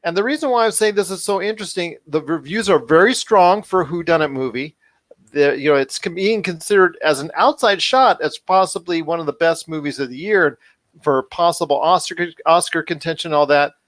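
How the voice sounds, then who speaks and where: A man with a slightly high voice hosts a pop podcast in an upbeat, bantering way. He is wordy and circles back to repeat his phrases. The sound is close and dry. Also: a little fast, fairly bright tone, no echo. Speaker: podcast host.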